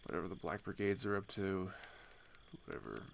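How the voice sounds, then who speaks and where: A man playing a weary, hesitant teenage refugee in an intimate ASMR audio drama, speaking in a halting, confiding way that often drops toward a whisper. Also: sing-song, a little hiss or hum, exaggerated voice. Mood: somber, disillusioned. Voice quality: low, gravelly with vocal fry.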